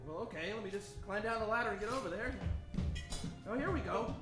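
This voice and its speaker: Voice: mid-range voice, fairly quick, natural voice. An actor playing an eager, naive young boy sidekick in an old-time radio serial. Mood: obliging. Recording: echoey, off-mic live stage, music behind.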